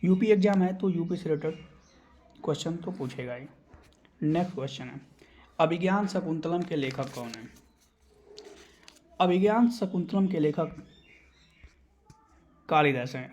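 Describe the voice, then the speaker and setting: A male exam coach with a fairly high-pitched voice. He explains quiz answers in an instructional way. Key terms get repeated so that students can memorise them. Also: a little slow, long pauses, a little mellow.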